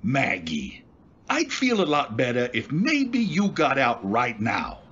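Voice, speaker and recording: An actor playing a blunt, wary working-class cab driver in an old-time radio drama style. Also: male voice, medium pitch, wildly swooping pitch, takes it slowly, a bit bright, breathy edge, highs cut off, full character voice. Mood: uneasy, firm. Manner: blunt, colloquial, incredulous.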